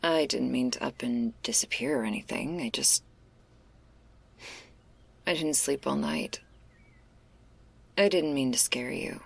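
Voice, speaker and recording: A woman acts out a female stoner werewolf in an ASMR roleplay, laid-back and caring with an anxious streak. Her voice sits low and crackles with fry. She talks slowly and hesitantly in casual slang, slipping between a breathy murmur and a whisper. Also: heavily acted. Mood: apologetic, uneasy. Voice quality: husky, breathy, creaky. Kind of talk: intimate ASMR roleplay, spoken to the listener.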